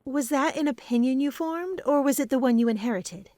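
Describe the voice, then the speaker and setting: A woman plays a wise fantasy seer with a slightly high voice. She is patient and measured as she mentors, and the sound is free of any room echo. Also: darkish tone, lightly acted. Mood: gently instructive. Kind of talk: medieval fantasy audio drama acting.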